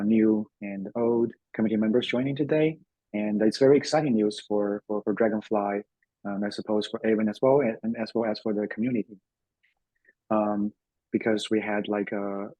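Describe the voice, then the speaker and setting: A man's voice: lowish voice, dark tone, no echo, rough recording. A man hosting a tech webinar as a developer advocate, speaking casually with lots of fillers and restarts. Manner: hesitant, many fillers and restarts.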